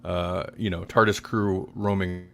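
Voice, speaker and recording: A man hosting a podcast, chatting casually about audio dramas in a halting, rambling way. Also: low-pitched, little pitch movement, gravelly, slight background noise. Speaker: podcast host.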